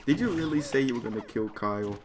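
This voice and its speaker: A man's voice: medium pitch, lively intonation, fast talker, natural voice. A man voicing an insistent female first-grade teacher who asks pointed questions, in a comedic story. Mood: interrogating. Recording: noisy background.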